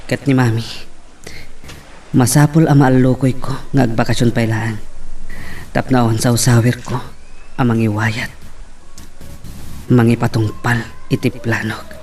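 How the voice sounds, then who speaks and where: An actor with a Filipino accent playing a skeptical, scheming uncle in an audio drama, speaking deliberately. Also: male voice, medium pitch, level tone, darkish tone, close to an everyday voice. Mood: brooding, scheming. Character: skeptical, scheming uncle absorbed in local politics. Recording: noticeable background noise.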